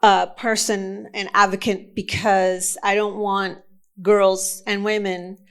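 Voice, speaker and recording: A woman from Indonesia giving a conference talk, speaking slowly and deliberately with frequent fillers and restarts. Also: medium pitch, flat delivery, a bit bright, smooth. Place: conference session room.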